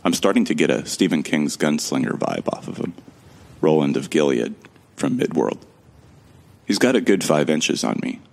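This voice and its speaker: A man's voice: very deep voice, lively intonation, moderate pace, gravelly. A man narrating a sci-fi audio drama in the first person, in a wry, joking and casual way. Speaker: narrator and protagonist.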